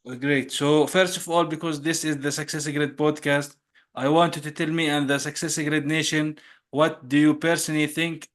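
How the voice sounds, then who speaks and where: A man's voice: fairly high pitch, fairly bright tone. A man hosting an interview podcast, reading the guest's introduction with small slips and corrections. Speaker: podcast host.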